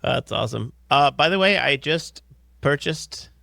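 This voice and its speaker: A man in his thirties co-hosting a podcast, upbeat, reading listener questions and riffing in casual chat from a home studio on a close boom mic. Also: lively intonation, a little slow, vocal fry, pushed and bright, dry recording. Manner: upbeat, reads listener questions then riffs loosely. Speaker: podcast co-host. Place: home studio with headphones, indoors. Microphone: close studio mic on boom arm.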